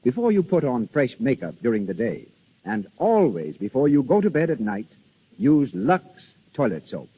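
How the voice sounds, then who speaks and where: A man's voice: mid-range voice, very animated, moderate pace, husky. A man working as a radio announcer and interviewer, in scripted 1930s advertising style. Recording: dull, reverberant 1930s radio recording.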